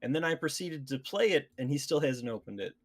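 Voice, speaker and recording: A man's voice: fairly high pitch, lively intonation, fairly quick. A man hosting a casual gaming podcast, chatting informally with his co-hosts. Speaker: podcast host.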